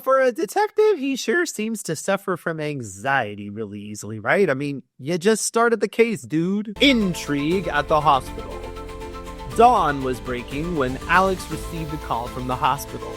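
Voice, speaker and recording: A man's voice: fairly high pitch, fairly bright tone. A man giving a dramatic reading of book prose, breaking off into sarcastic, dry asides as a reviewer. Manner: sarcastic, theatrical, shifting between narration and dry asides. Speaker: writing coach reviewing badly written books.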